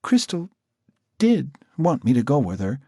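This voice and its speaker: A scared teenage runaway girl, put on by a male narrator, her voice pushed high and trembling. She stammers and breaks into sobs, the tone husky and breathy. The sound is dry and close with no room around it. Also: huge pitch swings, unhurried, warm tone, no echo, full character voice. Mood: tearful. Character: frightened teenage runaway girl, tearful and jumpy.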